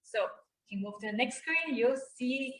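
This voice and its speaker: A woman's voice: high voice, moderate pace, a bit bright. A woman hosting a children's puppet show, encouraging and explaining activities step by step. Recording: roomy, echoey sound.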